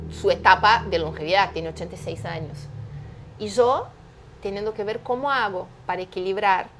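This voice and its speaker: A woman's voice: mid-range voice, a bit bright, slight background noise. Emphatic woman in her fifties. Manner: emphatic, explanatory, with rhetorical questions. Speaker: guest, entrepreneur. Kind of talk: animated interview conversation.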